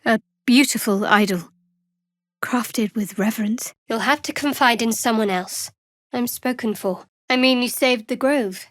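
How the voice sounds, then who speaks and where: Woman acting young female fantasy cleric, devout, guarded, cool wry delivery, lightly high pitch, dry studio sound, video game character. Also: unhurried, dry recording, natural voice. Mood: frank, guarded.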